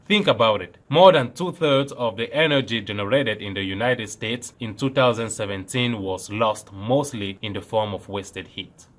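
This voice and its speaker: A man's voice: fairly low pitch, moderate pace, few pauses, a bit bright. Earnest young Cameroonian man presenting. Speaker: student presenter. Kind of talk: scripted science presentation.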